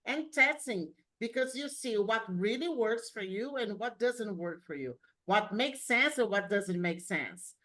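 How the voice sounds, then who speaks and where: A woman's voice: fairly high pitch, animated, measured pace, few pauses, a bit bright. A woman whose first language is not English, hosting a spiritual coaching interview in an encouraging, reflective way. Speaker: host.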